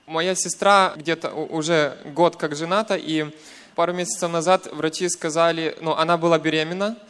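A young man from western Ukraine with a Ukrainian accent giving a personal testimony in church, in short hesitant segments with pauses. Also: fairly high pitch, fairly even intonation, fairly bright tone, clear, resonant tone. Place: church, speaking to a congregation.

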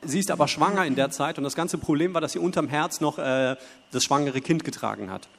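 Brisk male church interpreter in reverberant hall. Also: a little high, gravelly. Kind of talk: consecutive interpreting into German. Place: church hall before a congregation.

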